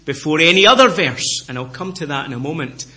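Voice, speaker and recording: A middle-aged man from Scotland with a Scottish accent, preaching a sermon in an earnest way, repeating phrases and slowing down to stress key words. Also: fairly high pitch, animated, pushed and bright.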